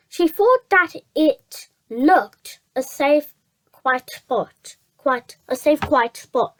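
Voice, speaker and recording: Storybook reader, high pitch, very unhurried, halting delivery with repeated words. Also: a bit bright. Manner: halting, stumbles over words and repeats phrases. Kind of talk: children's story read aloud.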